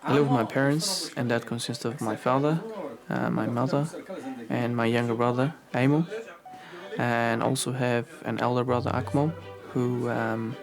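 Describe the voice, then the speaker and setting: A man's voice: mid-range voice, fairly even intonation, moderate pace, vocal fry, music in the background. A young man from Afghanistan, a university student talking earnestly and casually in a documentary interview. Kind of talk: documentary interview and street chat.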